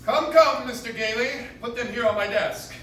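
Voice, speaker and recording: An actor playing an exasperated, commanding courtroom judge in a live radio play, recorded from the audience in an echoey room. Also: male voice, very high voice, normal speed, fairly bright tone. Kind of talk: live stage re-creation of old-time radio play. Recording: distant, noisy audience recording in echoey cafe.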